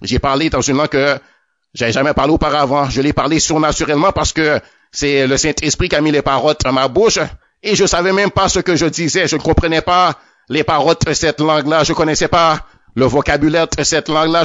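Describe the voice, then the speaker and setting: A man's voice: on the high side, steady tone, brisk, fairly bright tone, no echo. A man giving a spoken religious testimony, often stammering over and repeating his words as he goes. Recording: dull lo-fi, dry.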